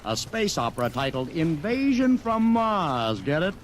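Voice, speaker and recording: A man's voice: on the high side, sing-song, slight background noise. An actor playing a brash, slangy publicity man in an old-time radio drama. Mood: sly, confiding. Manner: brisk, slangy, wheedling. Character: brash fast-talking male publicity man, slick and pushy. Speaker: publicity agent. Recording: old radio recording with music behind.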